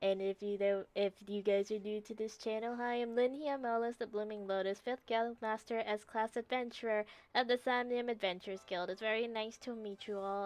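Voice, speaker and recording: A woman game streamer chatting casually and reacting on her livestream. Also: a little high.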